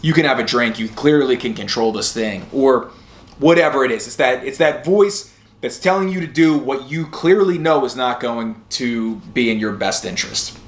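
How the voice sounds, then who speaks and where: A man's voice: mid-range voice, animated, moderate pace. A middle-aged man giving a casual, candid motivational talk drawn from personal experience, as the host of a recovery podcast. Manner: conversational, candid. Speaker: host of a sobriety podcast and video channel. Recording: roomy echo.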